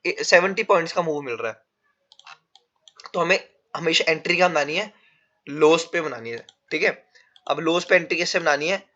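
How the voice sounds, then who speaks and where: A man's voice: on the high side, bright, forceful tone. Man with a sore throat teaching. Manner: explains step by step, calls viewers "bhai". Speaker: trading teacher. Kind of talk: casual chart-drawing lesson. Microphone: no proper mic yet. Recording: dull lo-fi recording without a proper mic.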